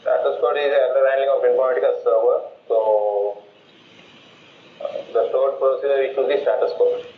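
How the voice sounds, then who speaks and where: A man's voice: medium pitch, steady tone, normal speed, slight background noise. A man asking technical interview questions over a phone call. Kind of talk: question-and-answer job interview. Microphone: remote phone line. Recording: tinny, echoey phone call.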